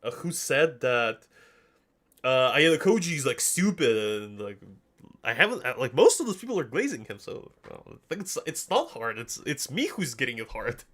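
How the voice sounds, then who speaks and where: A man's voice: medium pitch, very animated, normal speed, a bit bright. A young man from Russia with a slight Russian accent, chatting casually on a livestream in a joking, slangy, self-deprecating way. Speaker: anime commentary streamer. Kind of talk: casual livestream chat, answering viewers.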